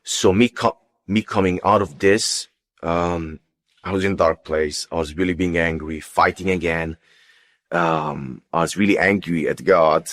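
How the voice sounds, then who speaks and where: Very deep-voiced man giving testimony. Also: fairly even intonation, a little slow, fairly bright tone. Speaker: guest giving a personal testimony. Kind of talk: unscripted personal testimony.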